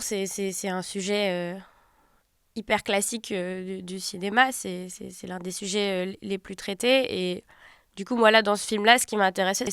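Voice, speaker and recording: A woman director from Turkey talks through her film in a measured, reflective way. Her voice is smooth and resonant, and the sound is dry and close. She often pauses and circles back to find the right word. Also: mid-range voice, no echo. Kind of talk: interview about her film.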